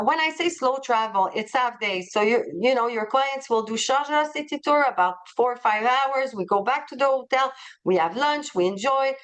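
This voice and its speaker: Eager woman with an accent, higher voice. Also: fairly bright tone, clear, resonant tone. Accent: non-native English. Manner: enthusiastic, frequent hesitations and restarts. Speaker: tour company presenter. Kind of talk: webinar presentation to travel agents.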